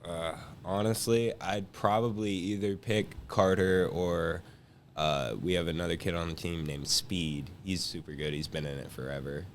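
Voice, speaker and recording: A teenage guy with a lowish voice chats casually as a guest. There is faint room noise behind him. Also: fairly even intonation, normal speed. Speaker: guest, high school senior. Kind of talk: casual chat.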